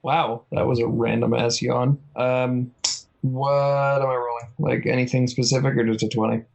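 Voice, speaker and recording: A man's voice: mid-range voice, level tone, normal speed, vocal fry, darkish tone, muffled recording. A man playing a tabletop roleplaying game, chatting and bantering casually as a player. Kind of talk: casual chat and in-character banter. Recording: music behind the voice.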